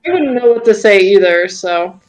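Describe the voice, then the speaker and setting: A woman's voice: mid-range voice, animated, normal speed, soft background music. A young woman casually reading a comic story aloud among friends, with teasing asides. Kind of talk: casual comedic read-aloud.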